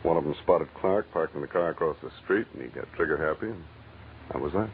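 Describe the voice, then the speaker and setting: An actor in a 1940s radio drama playing a low-key, world-weary but kindly private investigator, laconic and casual. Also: male voice, expressive, fast. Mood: matter-of-fact, resigned. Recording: muffled, noisy old radio broadcast recording.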